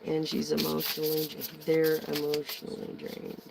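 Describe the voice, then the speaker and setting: A tarot reader with a hoarse voice, giving a casual, rambling reading in slangy talk full of ums. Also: medium pitch, monotone, moderate pace, noisy background. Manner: slangy, meandering, many ums.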